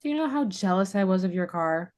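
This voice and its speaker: A woman's voice: mid-range voice, animated, brisk, a little mellow, lightly acted. A woman reading the part of a spoiled, sheltered young woman in a sitcom script, earnest and a little whiny. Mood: earnest, pleading. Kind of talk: sitcom script table read.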